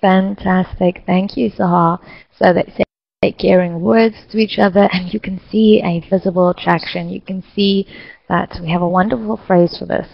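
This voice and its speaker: A woman facilitating an online English lesson, speaking slowly and clearly to encourage her students. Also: on the low side, steady tone, dry recording, dull audio. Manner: slow, clear, encouraging teacher talk. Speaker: English teacher hosting an online class.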